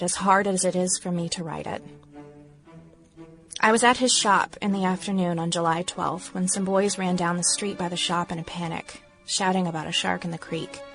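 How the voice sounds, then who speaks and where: A woman's voice: fairly low pitch, natural voice, poor audio. A woman playing a grieving small-town woman of the 1910s, solemnly reading her diary aloud for a radio play.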